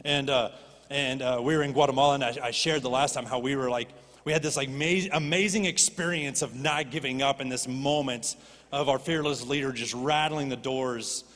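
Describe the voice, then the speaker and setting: A man preaching a sermon as a pastor, animated and rising to impassioned pleading. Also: medium pitch, moderate pace. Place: church stage. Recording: long reverb of a church hall.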